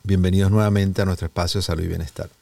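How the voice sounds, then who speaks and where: A Venezuelan man hosting a wellness interview show, telling stories in a relaxed, conversational way. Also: sits low, racing, vocal fry, recorded from a distance, background noise. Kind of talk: relaxed conversational introduction and storytelling.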